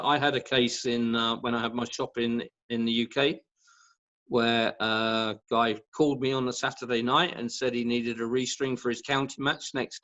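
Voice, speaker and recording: A man speaking as an expert guest in an informal discussion on a video call, halting and repeating words for emphasis. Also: mid-range voice, fairly bright tone. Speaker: guest expert, professional badminton racket stringer. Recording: dull lo-fi video call audio.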